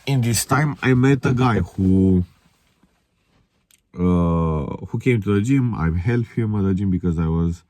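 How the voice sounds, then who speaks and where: Very deep-voiced young Romanian man. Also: a little slow, darkish tone, no echo. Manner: candid, reflective, swearing. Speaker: vlogger. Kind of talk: casual confessional monologue to camera.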